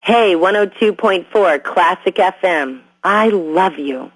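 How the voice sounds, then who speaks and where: A woman's voice: lowish voice, lively intonation, slow pace, no echo, poor audio. An actress playing an adoring female fan who calls a classical radio station, sweetly coaxing for a request. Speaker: radio caller.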